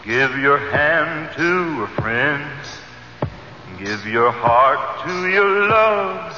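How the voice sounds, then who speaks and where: A man's voice: fairly high pitch, animated, very slow, a little hiss or hum. A man singing a 1970s radio advertising jingle. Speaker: jingle singer. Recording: dull radio recording, loud music.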